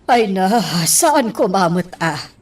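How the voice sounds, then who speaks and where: An actress playing a worried middle-aged mother with a husky voice in a radio drama. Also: mid-range voice, expressive, slow pace, far from the mic.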